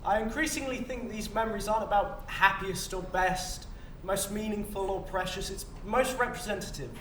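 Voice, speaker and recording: A woman's voice: medium pitch, moderate pace, fairly bright tone, close to an everyday voice. A woman playing an earnest, insistent and principled senior official of the afterlife in a stage play. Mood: reflective, guarded. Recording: distant, echoey stage recording, faint noise.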